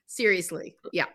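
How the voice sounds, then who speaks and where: A woman who is a guest on a podcast, talking enthusiastically and laughing as she tells her story. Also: pushed and bright. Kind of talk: casual podcast interview.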